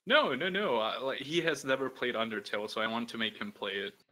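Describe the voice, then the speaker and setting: Deep-voiced young man, bright tone. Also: brisk. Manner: rambling, peppered with "like" and swearing. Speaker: podcast host. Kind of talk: casual chat.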